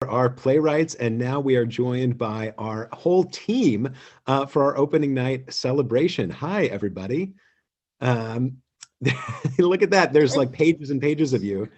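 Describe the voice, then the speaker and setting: A man hosting an informal online event over a video call, welcoming people in a halting, conversational way. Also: mid-range voice, animated, darkish tone. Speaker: host.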